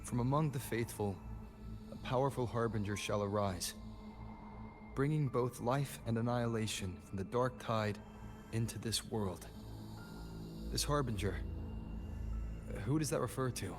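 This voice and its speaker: A man playing an earnest, thoughtful young adventurer in a video game, measured and articulate as he reasons aloud. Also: medium pitch, lightly acted. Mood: pondering. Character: earnest, thoughtful young male adventurer piecing clues together. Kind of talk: video game voice acting, story dialogue. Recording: echoey hall reverb, music behind. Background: game music.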